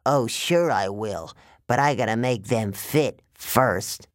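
Performer doing a slow-drawling genial menacing giant salesman. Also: mid-range voice, no echo, clean studio sound, close to an everyday voice. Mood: cheerfully menacing. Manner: folksy, drawling sales patter. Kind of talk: audiobook character voice.

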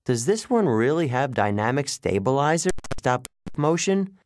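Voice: mid-range voice, sing-song, moderate pace, darkish tone, no echo, pitched above the performer's own voice, lightly acted. Someone playing a teen boy hero in an audiobook, wary but acting casual, bluffing, dry sound. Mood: casually bluffing. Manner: feigned casual friendliness. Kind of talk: audiobook character voice.

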